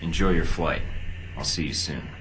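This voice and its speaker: An actor playing a young American secret agent who is cool, dry, understated and determined. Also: male voice, rumbling low, moderate pace, fairly bright tone, soft background music. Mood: wry.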